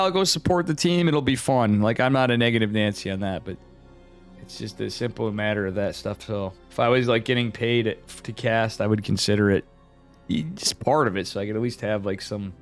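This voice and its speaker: American man casting esports over music. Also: medium pitch. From upstate New York, United States. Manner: rambling, slangy, chatting back to viewers. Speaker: esports caster and stream host. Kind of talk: casual livestream chat and game commentary.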